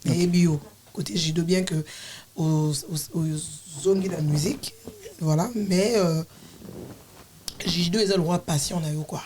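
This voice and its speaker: Halting woman co-host, mellow voice. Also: on the low side. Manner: halting, many restarts. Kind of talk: welcoming talk-show hosting.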